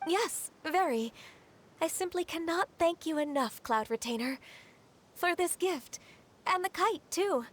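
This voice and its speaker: An actress playing a gentle, polite young female disciple in a video game, sweet and earnest in manner. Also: extremely high pitch, expressive, a little slow. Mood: grateful. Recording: long reverb, music underneath.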